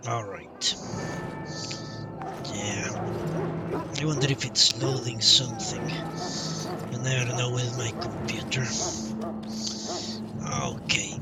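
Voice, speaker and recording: A man giving casual live gameplay commentary as a streamer, halting and musing as he goes. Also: medium pitch. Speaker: game streamer. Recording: loud game music, distant-sounding voice. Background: game music.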